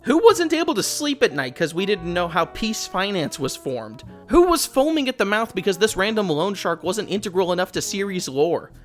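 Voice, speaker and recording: A man's voice: high voice, big pitch swings, moderate pace, hardly any pauses. Sardonic man commentating over music. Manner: sardonic, blunt, casually profane. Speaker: video essayist, host. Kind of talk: opinionated game commentary, casual script with asides.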